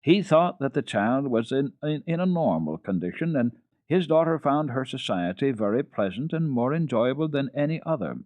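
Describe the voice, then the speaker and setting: A man narrating a classic children's story dramatically, in an expressive, measured storytelling manner. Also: mid-range voice, no echo. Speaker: storyteller, children's radio program host.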